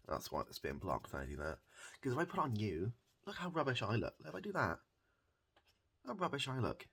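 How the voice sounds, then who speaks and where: Deep, gravelly, soft-spoken deadpan man. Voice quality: gravelly, husky low voice. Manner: rambling, deadpan, halting and repetitive. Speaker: ASMR comedy performer. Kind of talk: improvised softly spoken ASMR comedy roleplay as a hairdresser.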